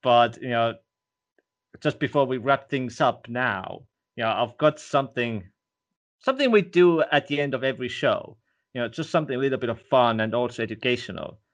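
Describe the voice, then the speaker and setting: A man who grew up speaking Estonian hosts a relaxed podcast interview in English, and his accent comes through. He talks in a loose, conversational way and often drops in "you know". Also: mid-range voice, moderate pace. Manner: chatty, leans on "you know". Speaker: podcast host. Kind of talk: casual interview chat.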